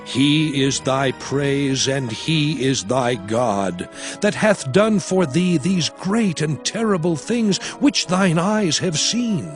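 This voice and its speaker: Slow, declamatory prophet. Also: male voice, on the high side, expressive, faint music behind. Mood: solemn. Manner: declamatory, measured, solemn. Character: solemn male prophet exhorting his people. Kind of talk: dramatized scripture reading.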